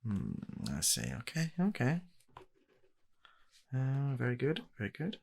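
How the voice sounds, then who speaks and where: A British man softly playing a polite, fussy gentleman matchmaker in a comedic ASMR roleplay, hesitating and repeating his reassurances. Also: medium pitch, sing-song, unhurried, full character voice. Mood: polite, explaining. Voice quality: gravelly, soft-spoken.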